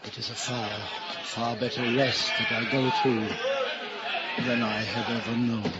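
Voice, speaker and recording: A man's voice: medium pitch, level tone, measured pace, lightly acted. Out of a muffled, very noisy old recording comes a noble man resigned to death, played by a male radio actor. He speaks in a solemn, theatrical 1940s drama style, over heavy crowd-like din.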